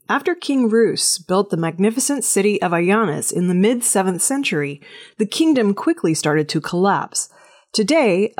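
A woman working as a news reader, delivering scripted audio news narration. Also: medium pitch.

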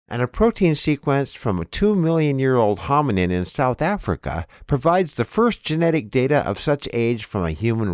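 A man's voice: mid-range voice, no room sound, clean studio sound. A man hosting a news programme, reading scripted headlines and announcements. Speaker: news podcast host.

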